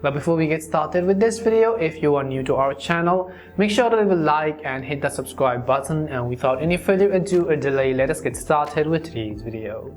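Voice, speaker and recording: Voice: mid-range voice, animated, fairly quick, few pauses. A tutorial host giving a scripted, wordy, step-by-step how-to narration. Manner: wordy step-by-step instructional delivery. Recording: music behind the voice. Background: background music.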